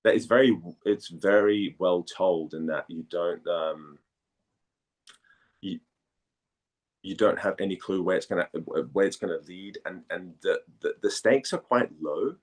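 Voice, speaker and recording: A man from Denmark speaking casually as an interviewed actor, halting and repeating phrases as he searches for words. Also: sits low.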